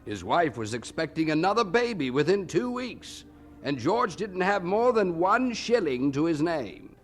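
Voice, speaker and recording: A man's voice: fairly high pitch, sing-song, measured pace, a little hiss or hum. A man playing a candid, wryly puzzled preacher who narrates his memories in a radio drama, reflective and conversational.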